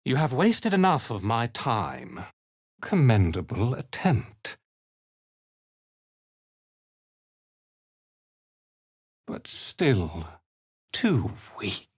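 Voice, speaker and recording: An actor playing a haughty, ruthless cult commander in a video game, speaking in an imperious, deliberate, disdainful manner. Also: male voice, mid-range voice, huge pitch swings, husky, no room sound, clean studio sound, full character voice. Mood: cold contempt. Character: haughty, ruthless male cult commander, contemptuous slave driver. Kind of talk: video game character acting.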